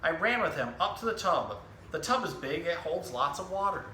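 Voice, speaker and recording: A man's voice: on the high side, sing-song, normal speed, pushed and bright. A man hosting an educational animal storytime, explaining and reading simply for children. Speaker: zookeeper and storytime host. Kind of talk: casual animal education talk and children's picture book reading. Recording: roomy echo with some background noise.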